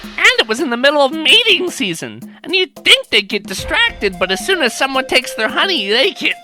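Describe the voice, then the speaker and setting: Young male cartoon monkey female performer, excitable wisecracker, extremely expressive pitch, clear background music. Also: moderate pace, heavily acted. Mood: chatty. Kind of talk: scripted comic cartoon dialogue.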